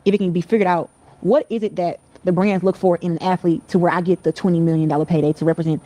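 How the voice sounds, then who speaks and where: A woman's voice: on the low side, steady tone, fast talker, dark tone, no echo. A woman talking casually in an interview, rambling a little as she searches for her words.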